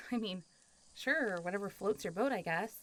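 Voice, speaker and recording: A woman's voice: medium pitch, lively intonation, a little slow, fairly bright tone, a little hiss or hum. Playful, teasing zombie girl, acted by a woman. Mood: friendly, teasing. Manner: casual, playful, teasing, often laughing and sighing. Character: friendly, teasing centuries-old zombie girl. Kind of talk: intimate roleplay audio drama.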